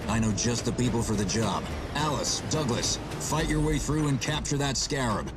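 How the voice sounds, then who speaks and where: A man playing a seasoned, resolute military starship captain in a video game, speaking in a measured, authoritative and decisive way. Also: medium pitch, lively intonation, lightly acted. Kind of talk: video game cutscene acting. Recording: loud music under the voice. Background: loud music.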